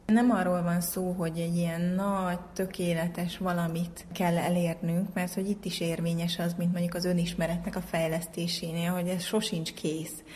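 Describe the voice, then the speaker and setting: A woman's voice: on the low side, level tone, hardly any pauses, a little mellow. A woman explaining psychology informally, as if talking through practical advice, in flowing spoken sentences.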